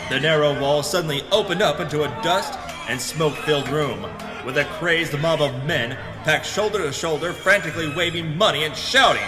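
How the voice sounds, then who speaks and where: A man's voice: a little high, normal speed, long reverb tail, music in the background, natural voice. A man playing a hardboiled, melodramatic pulp detective who narrates his adventure in a noir parody audio drama. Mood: dramatic.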